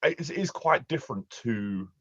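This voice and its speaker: A man's voice: mid-range voice, lively intonation, fairly quick, fairly bright tone, breathy edge, no room sound, lo-fi sound. A man with a London accent, an online English teacher chatting casually with a student over a video call, laughing often and restarting his sentences. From England. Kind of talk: casual one-on-one conversation lesson.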